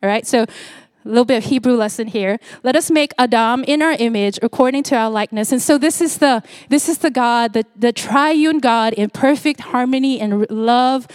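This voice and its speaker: A woman in her forties from Singapore delivers a sermon in an easy, conversational tone, often pausing on fillers and restarting her phrases. Her voice sits slightly high for a woman. The sound is close and dry, with no room around it. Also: clean tone, no room sound. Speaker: pastor.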